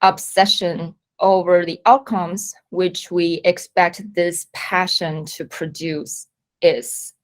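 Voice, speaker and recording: A woman in her thirties, originally from Taiwan, giving a measured, reflective presentation at a company event. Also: fairly low pitch, steady tone. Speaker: company talk speaker. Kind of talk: prepared presentation talk.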